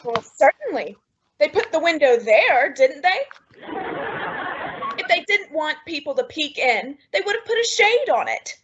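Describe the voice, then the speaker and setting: A woman playing a dramatic, scheming housewife in an old-time radio sitcom, with exaggerated, drawn-out comic emphasis. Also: sits high, big pitch swings, noisy background. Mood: nosy, curious. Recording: dull lo-fi old radio recording.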